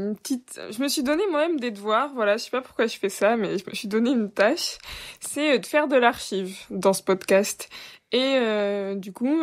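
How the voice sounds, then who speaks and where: A woman co-hosting a podcast, talking casually as she introduces her segment to the panel. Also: medium pitch. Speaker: podcast co-host presenting an archive segment.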